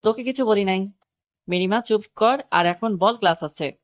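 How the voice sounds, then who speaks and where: Woman doing flirty clingy college guy, soft wheedling talk, audio romance story. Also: mid-range voice, normal speed, natural voice. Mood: apologetic, wheedling.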